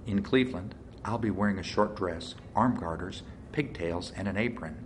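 Guy reading as breezy sarcastic young actress in an audiobook, low voice, slight noise behind. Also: moderate pace, lightly acted. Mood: breezy, teasing. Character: flippant, teasing young actress and costumed promo model.

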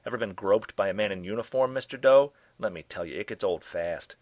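A man voicing a sardonic, chain-smoking woman scientist in her forties, drawling and scornful with a raspy edge. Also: fairly low pitch, brisk, a little mellow, dry recording, lightly acted.

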